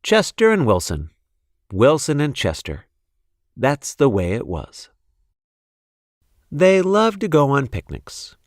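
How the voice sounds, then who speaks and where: A man reading a children's storybook expressively, with deliberate, measured phrasing. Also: mid-range voice, wildly swooping pitch, dry recording, clean studio sound. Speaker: storybook reader.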